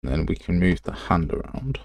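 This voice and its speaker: A man's voice: low voice, very animated, moderate pace, creaky, dry recording. A man giving a casual live tutorial, talking to himself as he works and sounding self-deprecating. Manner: muttering to himself while working. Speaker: game development livestreamer.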